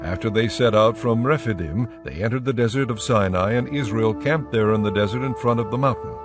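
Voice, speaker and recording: A man narrating scripture for a dramatized audio production in a measured, formal storytelling manner. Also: on the low side. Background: soft music.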